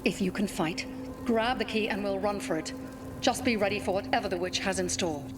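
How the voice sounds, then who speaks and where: A woman voicing a brisk, loyal elven rebel agent, battle-ready, in a video game. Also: medium pitch, noisy background, natural voice. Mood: urgent, concerned.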